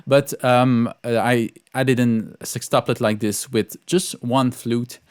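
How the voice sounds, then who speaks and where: A man's voice: medium pitch, steady tone, takes it slowly, darkish tone, smooth, dry recording, clean studio sound. A man talking through an orchestration lesson as a composer, informally and hesitantly, thinking aloud as he goes. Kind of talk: informal tutorial walkthrough.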